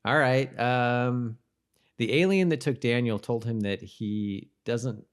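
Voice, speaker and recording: A man co-hosting a podcast in casual chat, talking in a halting, hesitant way and correcting himself. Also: mid-range voice, clean tone. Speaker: podcast co-host. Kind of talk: casual chat about TV show trivia.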